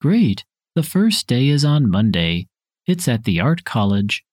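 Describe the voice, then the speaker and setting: A man reading his parts in a scripted dialogue for a language listening test, with careful, even delivery. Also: medium pitch, a little slow, husky, no room sound, studio quality.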